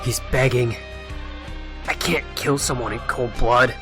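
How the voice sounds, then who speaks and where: A man acting an intense, sardonic, vengeful but principled superhero in an animated futuristic drama. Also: medium pitch, a little slow, music in the background, close to an everyday voice.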